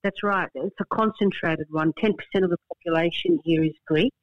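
An Australian woman in her fifties, a barrister being interviewed, speaking in a measured, halting way with many fillers and repeated words. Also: lowish voice, no room sound, dull audio. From Darwin, Northern Australia. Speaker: barrister and guest. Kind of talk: podcast interview. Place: remote, from her hometown.

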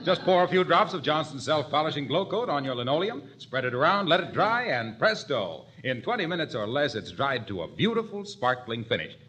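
A cheerful, eager salesman in a 1940s radio comedy. His bright, slightly high voice moves with lively swings and the polished, upbeat delivery of a sponsor's announcer. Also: a little fast, few pauses. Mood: cheerful, selling. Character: cheery, eager floor-wax salesman dropping by.

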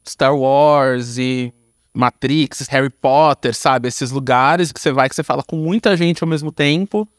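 A Brazilian man with a fairly high voice speaks in a loose, informal interview style. He reflects aloud in slangy, conversational phrases. The recording is close and dry, with no room sound. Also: no room sound. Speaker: podcast guest, content creator and director. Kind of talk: casual reflective interview talk.

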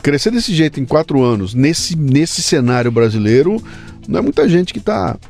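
A man's voice: a little high, very animated, moderate pace, creaky, darkish tone, soft background music. A Brazilian man hosting a podcast interview, talking informally and emphatically with lots of slang. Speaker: podcast host. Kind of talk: casual interview chat.

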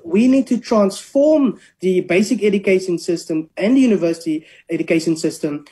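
Warm-toned academic explaining. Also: mid-range voice, moderate pace. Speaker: linguist and academic. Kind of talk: explaining in an interview.

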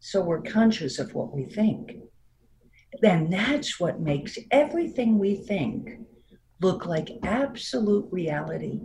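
A woman in her sixties with a New York accent, speaking as a therapist guest in a reflective, unhurried way with frequent light laughs. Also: fairly low pitch, expressive, dark tone, slight background noise, low-quality recording. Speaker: marriage and family therapist guest. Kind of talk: reflective interview talk.

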